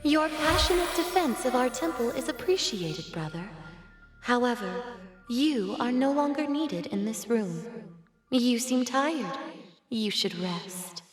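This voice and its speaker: A woman's voice: fairly high pitch, animated. A woman playing a pious, restrained alien empress in a video game, speaking formally and eloquently with hidden yearning, over music. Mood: calm authority. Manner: formal, eloquent, measured delivery. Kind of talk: dramatic video game voice acting. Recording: loud music behind the voice.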